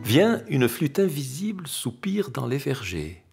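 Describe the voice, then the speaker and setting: Male poetry reader, gravelly fry, slow measured delivery, theatrical declamation, dramatic pauses. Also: medium pitch, big pitch swings, darkish tone, faint music behind. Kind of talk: expressive literary poetry recitation.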